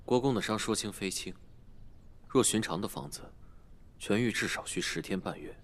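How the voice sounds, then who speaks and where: An actor playing a self-assured, courteous physician in a Chinese period drama, speaking formally and with composure. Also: male voice, on the low side, normal speed, natural voice. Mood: composed, assured.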